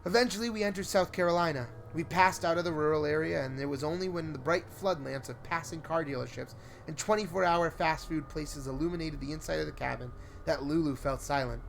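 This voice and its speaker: A man's voice: on the high side, a little fast, vocal fry, a little hiss or hum. A man reading a scripted first-person horror story in a suspenseful, theatrical way. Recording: prominent music under the voice. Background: music.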